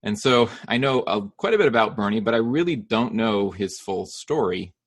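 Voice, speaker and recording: A middle-aged man hosting a casual interview podcast, upbeat and quick with his questions, laughing easily. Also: on the low side, animated. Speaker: podcast host.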